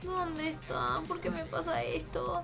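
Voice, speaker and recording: A woman's voice: very high voice, measured pace. A young woman with an Argentine Spanish accent, chatting playfully and expressively with a friend as she plays a game. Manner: expressive, exclamatory, teasing. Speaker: gaming YouTuber. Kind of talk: playful gameplay chat with a friend. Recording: voice over background music. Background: background music.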